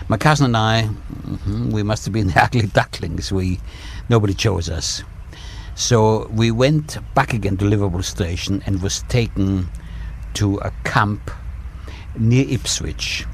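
A man in his seventies giving a personal testimony in a radio interview, speaking in a reflective, measured way with frequent pauses. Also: on the low side, creaky, slight background noise. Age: 70s.

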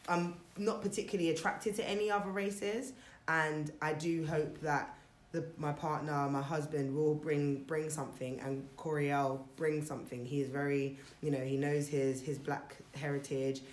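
A woman's voice: deep voice, flat delivery, normal speed. A young adult woman in a casual group chat, thinking aloud about family and heritage, with plenty of fillers and restarts. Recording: roomy echo.

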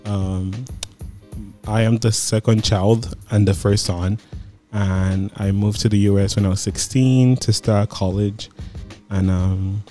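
Young man, lowish voice, mellow and dark, shy guest on a podcast. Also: steady tone, slow pace, faint music behind. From Nigeria. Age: 20s. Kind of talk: casual chat.

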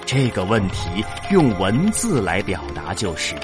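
Guy narrating sci fi audio drama, slow n suspenseful, lively pitch, music under it. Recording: clear music bed behind.

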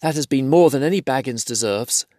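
A man's voice: on the high side, expressive, normal speed, creaky, no room sound, voice pushed up. A man voicing a small, kindly male hobbit who talks in an anxious, self-deprecating way. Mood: sorrowful. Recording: dry close recording.

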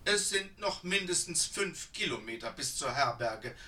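A man's voice: a little high, expressive, a little slow, pushed and bright, reverberant, recorded from a distance, full character voice. A man voicing a sulky young woman hiker. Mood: grumbling. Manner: sulky, complaining.

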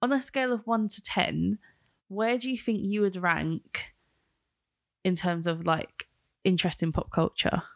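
A woman hosting a casual pop culture podcast, chatty and quick to laugh. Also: medium pitch, steady tone, vocal fry, no room sound, clean studio sound. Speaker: podcast host.

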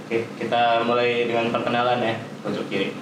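A man's voice: mid-range voice, level tone, a little fast. Male host asking questions, super echoey, noisy background. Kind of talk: measured question prompts. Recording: very echoey, distant, noisy room.

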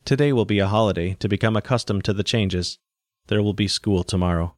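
Man playing a robot caretaker, gentle, measured, calm, low-pitched, sci-fi audiobook character. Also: natural voice.

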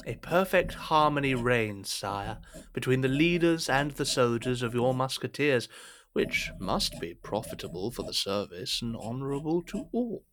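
Insinuating cardinal for period audio drama: mid-pitched, courtly, formal, richly varied intonation. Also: male voice, animated, a little slow, a bit bright. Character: smooth, scheming, deferential cardinal and royal adviser.

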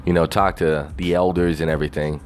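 A man's voice: very deep voice, little pitch movement, a little fast, faint music behind. A man on a casual, rambling rant, swearing constantly and leaning on "you know" fillers.

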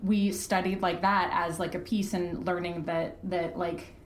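A woman chatting casually as an interview guest, animated and self-deprecating. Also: on the low side, measured pace. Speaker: podcast guest, fiction podcast creator and host. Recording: echoey room, faint background noise.

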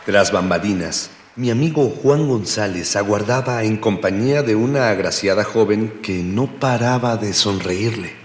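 An actor playing a florid, mock-solemn storyteller, narrating a scripted radio drama in archaic phrasing. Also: male voice, lowish voice, moderate pace, hardly any pauses, over music, close to an everyday voice. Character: mock-literary male storyteller, hero's friend. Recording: echoey hall reverb.

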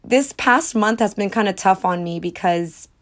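A woman's voice: mid-range voice, normal speed. A woman in her twenties hosting a solo podcast, talking in a casual, dramatic, self-mocking way with frequent giggles. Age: 20s. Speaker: podcast host.

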